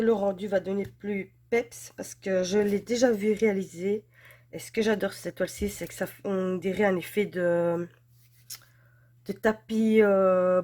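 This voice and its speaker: A woman's voice: medium pitch, mellow. Woman, stuffy with a cold, chatty and gushing, filler-heavy unboxing host. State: has a head cold. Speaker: crafts channel host. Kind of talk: casual unboxing chat.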